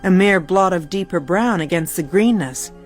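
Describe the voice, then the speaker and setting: A woman narrator reading a literary ghost story in a measured, formal storytelling manner. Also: fairly low pitch. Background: faint music.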